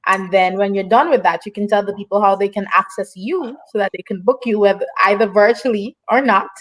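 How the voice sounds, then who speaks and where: A woman's voice: medium pitch, steady tone, fairly quick, poor audio. A woman hosting an online interview in a casual, encouraging way, with frequent affirmations. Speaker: online show host.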